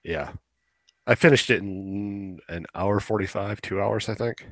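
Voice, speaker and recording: A man's voice: on the low side, a little slow, a bit bright, no echo, studio quality. A young man chatting casually as a podcast co-host, opinionated and rambling, swearing now and then. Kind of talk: casual chat about video games.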